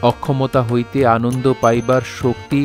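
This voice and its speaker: A man's voice: medium pitch, little pitch movement, moderate pace, music in the background. A man narrating an audio drama in a formal, literary manner.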